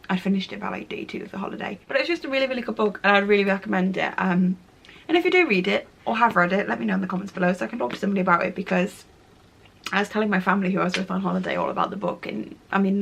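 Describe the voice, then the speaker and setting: A woman, chatting casually to camera about her monthly favourites, her voice croaky and nasal from a cold, gushing and repeating "really, really". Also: medium pitch, fairly bright tone, slight background noise. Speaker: lifestyle vlogger.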